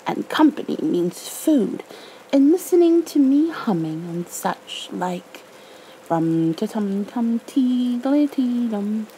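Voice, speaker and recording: An earnest little male bear from a storybook, as a woman reader plays him. He sounds simple and friendly, with a mellow tone and a very lively melody that often lifts high. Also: slow pace, a little hiss or hum, low-quality recording. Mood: cheerful, pondering. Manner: simple, earnest, humming to himself. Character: naive, friendly, hungry male toy bear.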